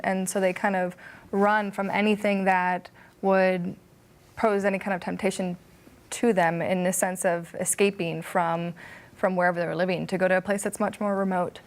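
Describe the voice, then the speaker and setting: A young woman in a Bible study group, speaking in a thoughtful, measured way with frequent fillers. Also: medium pitch, steady tone. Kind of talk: discussion group chat.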